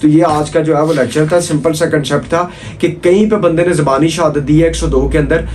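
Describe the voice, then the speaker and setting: A man's voice: on the high side, steady tone, brisk, a little mellow, slight background noise. A man with a Pakistani accent giving an informal law lecture, repeating points, posing rhetorical questions and mixing in English legal terms. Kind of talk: informal exam-oriented lecture.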